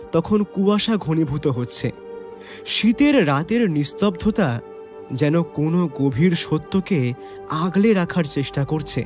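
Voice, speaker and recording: A detective's reflective male companion narrates an audio mystery. His voice is high for a man and very lively in pitch, with expressive, atmospheric storytelling over music. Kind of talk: dramatised first-person story narration.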